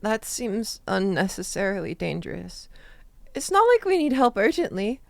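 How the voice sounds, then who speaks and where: A woman acting as a gentle, injured young student who likes to joke, speaking haltingly and mildly in an amateur audio drama. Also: medium pitch, very animated, vocal fry, no room sound. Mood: mild, pleading.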